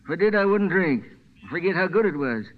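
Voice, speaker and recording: A tipsy old American dock hand in a vintage radio play. The voice is high and very animated, rambling in folksy, ungrammatical friendliness. The recording is dull and aged. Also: male voice, brisk. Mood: chatty, rambling. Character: rambling, friendly old drunken dockside sidekick. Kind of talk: 1940s radio acting.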